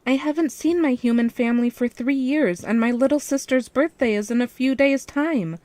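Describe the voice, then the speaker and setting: A woman's voice: high voice, normal speed, a little mellow, no echo, voice pushed up, close to an everyday voice. A woman voicing a sweet, eager, mischievous young female animatronic fox in a story reading. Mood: excited. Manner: eager, squealing, sweet-toned.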